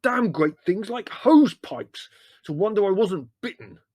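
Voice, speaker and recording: A man's voice: medium pitch, brisk, darkish tone, breathy edge, dry recording, clean studio sound, voice pushed up, lightly acted. A man voicing a hot-tempered young man in a storybook, bellowing and snarling as he swears. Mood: furious, indignant.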